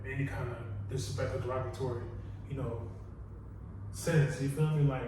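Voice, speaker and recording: Fast-talking man, blunt, echoey room. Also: mid-range voice, steady tone. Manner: quick talker, repeats "you know what I'm saying", blunt and profane. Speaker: podcast co-host. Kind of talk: casual podcast chat. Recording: echoey room, distant mic, faint noise.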